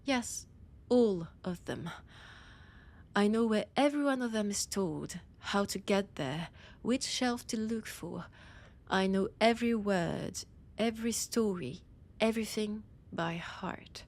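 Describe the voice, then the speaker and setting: A woman with a French accent playing an ancient, proud, teasing vampire scholar in an audio roleplay, deliberate and often dropping into breathy whispers. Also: mid-range voice, a little mellow. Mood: proud, condescending. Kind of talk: scripted roleplay audio drama monologue.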